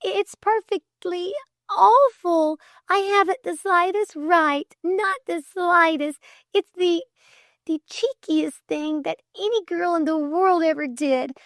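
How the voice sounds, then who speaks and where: A woman's voice: very high voice, slow, gravelly, pushed and bright, dry recording, studio quality, voice pushed up, heavily acted. A woman voicing a flustered, indignant and impulsive young girl in a storybook, with breathless, stammering protests. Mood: tearful pleading.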